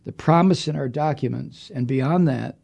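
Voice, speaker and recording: A man's voice: mid-range voice, moderate pace, gravelly, a little mellow. A man hosting a political podcast, delivering an angry, profane monologue with heavy emphasis. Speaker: podcast host.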